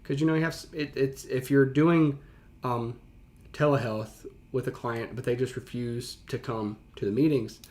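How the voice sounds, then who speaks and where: A man's voice: mid-range voice, fairly even intonation, moderate pace, darkish tone. A man with a mid-range voice reacts and explains in the way of a teacher. He talks casually and conversationally, leaning on key words for emphasis.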